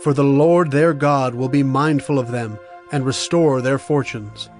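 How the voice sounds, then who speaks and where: An actor playing a stern, urgent Hebrew prophet of doom in a solemn, emphatic dramatized scripture reading. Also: male voice, medium pitch, natural voice. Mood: condemning. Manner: solemn, emphatic, deliberate oratory. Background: music.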